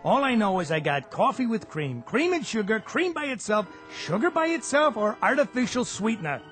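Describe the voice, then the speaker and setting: An actor playing a matter-of-fact office coffee vendor with a clipped patter in a radio drama. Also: male voice, high-pitched, big pitch swings, moderate pace, fairly bright tone, soft background music. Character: plain-spoken male coffee vendor doing office rounds.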